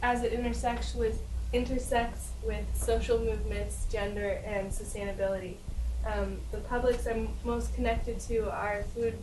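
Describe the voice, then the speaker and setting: A woman's voice: on the high side, level tone, moderate pace. A woman student introducing herself in class. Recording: echoey, noisy room, distant mic.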